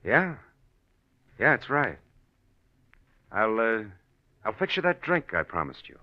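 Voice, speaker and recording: A man's voice: fairly low pitch, big pitch swings, slow pace. A man acting as a glib, scheming and impatient husband in a 1940s radio drama, brusque under a casual front. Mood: coaxing. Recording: dull lo-fi old radio recording.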